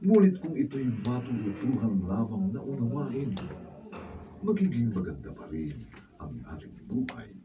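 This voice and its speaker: A middle-aged man narrating a radio drama and reading credits in a deliberate, dramatic announcer style. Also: medium pitch, big pitch swings, noisy background. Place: radio studio with padded walls. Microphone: studio mic on stand, heard via room camera. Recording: distant room pickup with music. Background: music.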